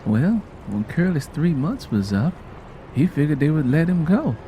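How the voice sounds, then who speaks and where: A man's voice: a little high, big pitch swings, measured pace, warm tone, slight background noise, rough recording. A man voicing a sorrowful mother who recounts hard family history in a plainspoken, reflective, folksy way. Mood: matter-of-fact.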